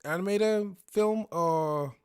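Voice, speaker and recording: A man's voice: high-pitched, slow pace, smooth. Man musing aloud in casual commentary. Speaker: reactor and commentator. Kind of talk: casual spontaneous reaction commentary.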